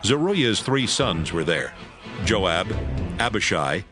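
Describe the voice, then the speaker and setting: A man narrating a Bible audio drama from a script in a measured storytelling manner. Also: low voice, very animated. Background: music.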